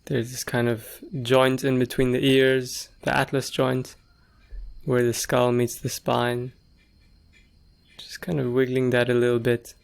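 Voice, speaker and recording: Man, meditation teacher, gentle, very slow pace, gravelly fry, long pauses. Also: mid-range voice, completely flat delivery. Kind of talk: guided meditation.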